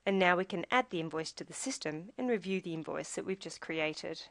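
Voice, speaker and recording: A woman reading a scripted software tutorial, explaining each step in a measured, instructional way. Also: fairly low pitch, expressive, dry recording.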